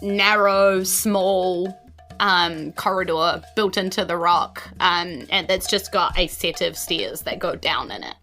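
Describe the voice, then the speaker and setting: A New Zealand woman works as game master, improvising scene descriptions for a tabletop fantasy game. Her voice is bright and clear-cut, her delivery conversational, with soft music underneath. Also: lowish voice, takes it slowly.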